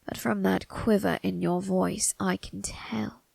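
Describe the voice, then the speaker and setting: A woman playing an eerie undead male undertaker in an ASMR roleplay, calmly menacing and obsessive, speaking in a breathy, creaky whisper with ominous, deliberate phrasing. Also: medium pitch, dry recording, full character voice. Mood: intent, coaxing.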